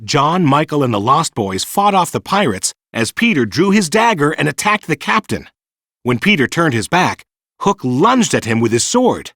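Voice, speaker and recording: A man reading a children's storybook in an animated, dramatic storytelling manner. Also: fairly high pitch, normal speed, dry recording, studio quality. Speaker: storybook narrator. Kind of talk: scripted children's storybook narration.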